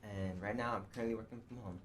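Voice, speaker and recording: A man's voice: lowish voice, steady tone, fast talker, noisy background. Hesitant young man chatting casually. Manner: hesitant, peppered with "like". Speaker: former restaurant chef, contestant. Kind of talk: casual chat.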